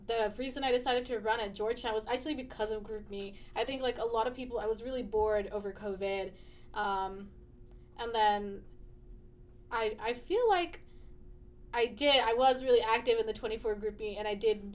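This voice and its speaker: A woman's voice: medium pitch, slight background noise. Chatty young woman, filler-heavy. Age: young adult. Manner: chatty, full of "like" fillers, laughs easily. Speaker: student guest. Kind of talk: casual interview chat.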